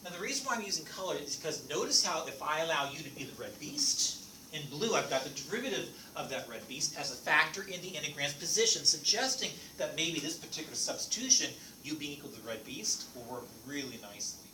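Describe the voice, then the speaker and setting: Lively French-native male math teacher, echoey. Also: a little high, fast, far from the mic. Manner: lively, playful teacher asking the class questions. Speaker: math instructor. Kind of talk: classroom lecture. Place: classroom. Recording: echoey classroom recorded from afar, noisy.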